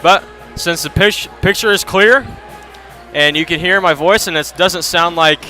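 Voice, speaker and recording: A man with a Midwestern American accent calling a high school basketball webcast, chatting casually and thanking sponsors before tipoff. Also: measured pace, sharp tone, soft background music. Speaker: sports webcast announcer. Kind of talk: casual pregame commentary.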